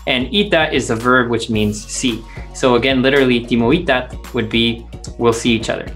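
A man's voice: mid-range voice, normal speed, a bit bright. A man teaching in a scripted language lesson, explaining words and their meanings step by step. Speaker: teacher, translator. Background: music.

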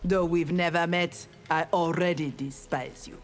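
A woman's voice: lowish voice, fairly even intonation, a little slow, faint music behind, lightly acted. A woman with a Nigerian accent voicing a proud, righteous young ninja warrior in a fighting game, formal and defiant. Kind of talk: video game fight dialogue.

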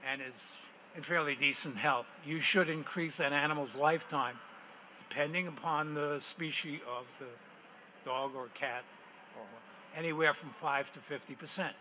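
An older man pitching a product to a panel and answering their questions in a technical, matter-of-fact way, speaking into a handheld mic in a large hall. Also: moderate pace, bright, forceful tone, a little hiss or hum. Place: large hall with stage, indoors.

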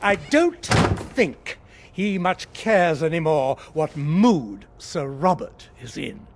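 An actor voicing a sinister doctor in a video game, mocking and prying with theatrical menace. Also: male voice, fairly high pitch, big pitch swings, takes it slowly, heavily acted. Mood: menacing. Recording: faint game ambience behind.

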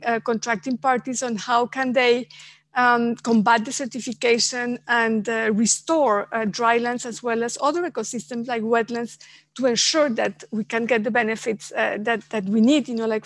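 A woman in her forties moderating an online panel from a home office, speaking in halting phrases with many fillers and repeated words. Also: on the high side, no echo. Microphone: headset on a video call.

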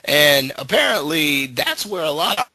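A man's voice: on the high side, big pitch swings, moderate pace, sharp tone, no echo, studio quality. A man co-hosting a casual web show, teasing his partner and spinning playful mock stories. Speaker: podcast co-host. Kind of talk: casual chat.

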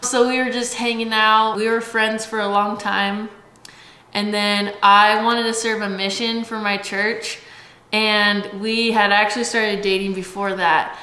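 A woman's voice: medium pitch, little pitch movement, a little slow, pushed and bright. A woman in her late twenties from Washington state, chatting casually and telling stories with lots of "like" and "um" at home. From Washington state, USA. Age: late 20s. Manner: chatty storyteller, giggly, lots of "like" and "um". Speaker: vlogger. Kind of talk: casual chat. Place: bright home kitchen, indoors. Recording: echoey room.

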